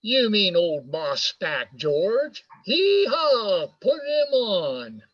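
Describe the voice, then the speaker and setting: Radio drama: male actor as a jovial, teasing big shot, high-pitched and slow, hearty in his boasting. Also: wildly swooping pitch, exaggerated voice. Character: brash, jovial male businessman, George's old pal. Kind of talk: amateur radio play acting. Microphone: video call. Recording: muffled video call audio.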